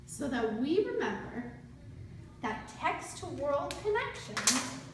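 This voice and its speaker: A woman's voice: high voice, very animated, slow. A woman teaching a read-aloud lesson to young children, using simple instructional phrasing and prompting them with questions. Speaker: student teacher reading aloud to a class. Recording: very echoey room, distant mic, faint noise.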